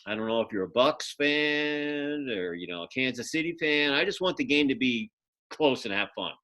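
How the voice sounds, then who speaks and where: A man's voice: medium pitch, animated, moderate pace, sharp tone. A man from Philadelphia hosting an interview show, talking in a casual, chatty and rambling way. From Philadelphia, United States. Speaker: podcast host. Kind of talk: casual conversational interview introduction.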